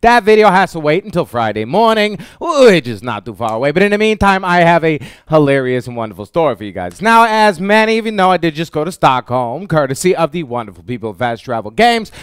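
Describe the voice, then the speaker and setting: A man hosting a casual vlog, telling a story in an animated, exclamatory way with stuttered repetitions. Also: fairly high pitch, a little fast, hardly any pauses, clean tone, dry recording, clean studio sound. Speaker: YouTube show host.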